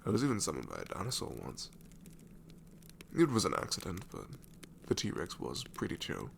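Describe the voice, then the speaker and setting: A man's voice: wildly swooping pitch, normal speed, slight background noise, heavily acted. A man playing an ancient, sassy shadow demon in an ASMR roleplay, wry and world-weary but tenderly reassuring, often slipping into a whisper. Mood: wry, exasperated. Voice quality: very deep, gravelly, often breaking into whisper.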